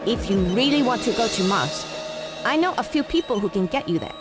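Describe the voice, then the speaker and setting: A woman's voice: a little high, animated, fairly quick, close to an everyday voice. A woman playing a cool, composed underground surgeon in a video game, with a clipped, matter-of-fact delivery. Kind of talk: video game cutscene acting. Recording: music behind the dialogue.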